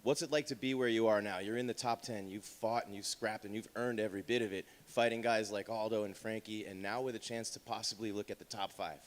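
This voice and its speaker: A man's voice: fairly low pitch, steady tone, fairly quick, few pauses. A man working as a reporter, putting questions to an athlete at a press conference. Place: indoor media day room. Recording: echoey room with long reverb.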